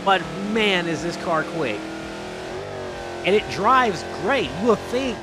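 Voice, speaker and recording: A man's voice: sits high, sing-song, slow pace, background noise. A man doing casual, chatty gameplay commentary in informal, colloquial speech, often repeating his phrases. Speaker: gaming video creator and host.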